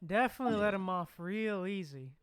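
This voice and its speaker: A woman's voice: medium pitch, animated, a little fast, slight background noise. A woman streamer reacting casually to a game, laid-back and often repeating her phrases.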